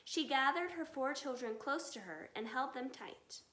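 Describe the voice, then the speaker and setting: A woman narrating a children's story aloud in an expressive reading style. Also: sits high, normal speed. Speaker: storybook reader. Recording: echoey, reverberant room.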